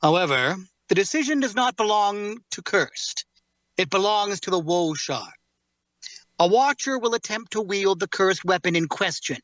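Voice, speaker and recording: Man playing a bright-voiced, formal cursed warrior. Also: high voice, lively intonation, moderate pace, dry recording, rough recording. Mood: grave, explanatory. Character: formal, guarded male cursed warrior, enigmatic and courteous. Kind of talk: audio drama table read.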